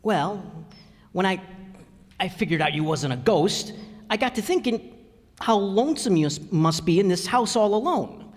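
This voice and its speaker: A man performs a blustering, good-hearted but cowardly father for old-time radio comedy. His folksy, ungrammatical speech swoops widely in pitch. The stage recording rings with the hall. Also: animated, moderate pace. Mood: kindly. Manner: folksy ungrammatical speech, stammers when scared.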